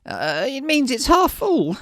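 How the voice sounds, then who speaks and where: A woman acts out a nervous middle-aged village man from a storybook. The voice is high and swings widely in pitch. He stammers and hesitates, deferring and apologising timidly, recorded close and dry. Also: sing-song, moderate pace, dry recording. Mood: nervous, hesitant. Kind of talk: acted story dialogue.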